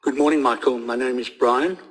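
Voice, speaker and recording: A man's voice: mid-range voice, fairly even intonation, a little fast, breathy edge, rough recording. A man with a South African accent from the audience, asking the host a direct question.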